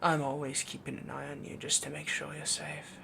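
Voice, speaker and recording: A man playing a possessive, doting young werewolf boyfriend in a soft, breathy, half-whispered intimate roleplay audio, rambling and dominant as he coos endearments. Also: fairly high pitch, monotone, fairly quick, a little hiss or hum, exaggerated voice. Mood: obsessive, adoring.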